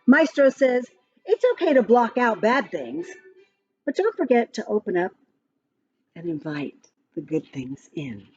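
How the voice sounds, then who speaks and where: A woman reading a children's picture book aloud in an expressive, sing-song way, adding chatty asides about the pictures. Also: mid-range voice, measured pace, long pauses, slightly rough. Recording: slightly dull lo-fi, music behind. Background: music.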